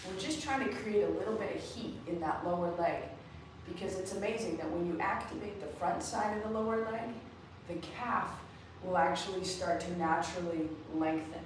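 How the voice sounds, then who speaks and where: A woman coaching a live mobility class, slightly raspy after a race, guiding each move step by step. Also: on the low side, moderate pace, background noise. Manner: relaxed, guiding students step by step. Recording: echoey room, far from the mic.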